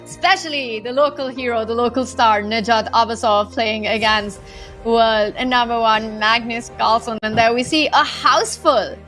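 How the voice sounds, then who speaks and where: Indian lady doing chess commentary, kinda high voice, music playing softly behind. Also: normal speed.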